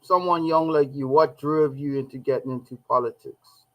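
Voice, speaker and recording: A man hosting a live regional talk show, speaking in a deliberate, measured way with emphatic phrasing. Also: medium pitch, steady tone, low-quality recording.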